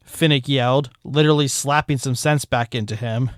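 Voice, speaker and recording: A man's voice: medium pitch, steady tone, moderate pace, no room sound. A man narrating a fanfiction story aloud with a dry, wry delivery.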